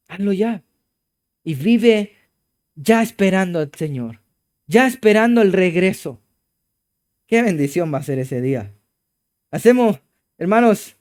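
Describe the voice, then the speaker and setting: High-voiced Chilean preacher, clear tone. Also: male voice. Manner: emphatic preaching, swinging from exclamations to low hushed passages. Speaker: pastor. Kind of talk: sermon. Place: church.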